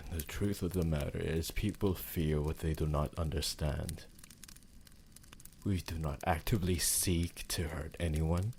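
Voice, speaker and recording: A man playing an ancient, possessive and refined male vampire lover in an intimate ASMR roleplay, speaking in formal, theatrical phrases with pauses. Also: very deep voice, fairly even intonation, a little mellow, slight background noise. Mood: brooding, protective.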